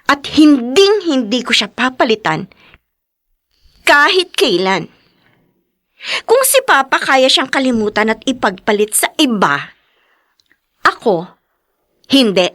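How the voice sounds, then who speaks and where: Defiant radio-drama daughter, high voice, lively swings, cutting tone. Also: female voice, a little slow, pauses often, full character voice. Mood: resentful. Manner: cold, cutting, bursts into shouting.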